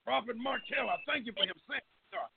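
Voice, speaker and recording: A man's voice: very high-pitched, expressive, brisk, gravelly, slight background noise. A middle-aged man prays aloud fervently and off the cuff, pleading and repeatedly calling on God. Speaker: person leading group prayer. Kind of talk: fervent extemporaneous prayer. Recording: tinny, phone-line-like audio.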